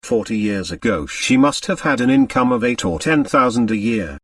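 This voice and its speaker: A man's voice: fairly low pitch, moderate pace, breathy edge, no room sound, recorded from a distance. A man voicing a big, middle-aged storybook doctor, breezy, blustering and emphatic in his indignation. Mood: astonished. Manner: energetic, emphatic, fuming.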